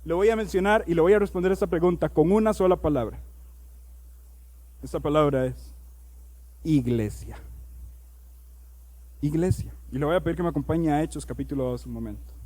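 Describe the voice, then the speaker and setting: A male pastor preaching a sermon. He reads scripture aloud, slows down on key words, and builds to loud, emphatic preaching. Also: on the high side.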